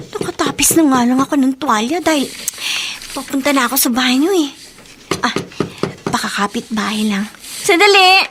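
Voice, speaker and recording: A woman's voice: sits high, background noise. A young woman playing a timid, polite, vulnerable college student in radio melodrama. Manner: polite, pleading. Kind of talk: Tagalog radio melodrama acting.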